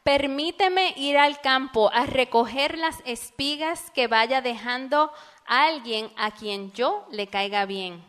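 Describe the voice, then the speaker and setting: Lady translating the sermon line by line, smooth voice, kinda high, echoey church. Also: fairly bright tone. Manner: quick, fluid rendering phrase by phrase. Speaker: church interpreter. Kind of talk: live sermon interpretation. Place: church sanctuary.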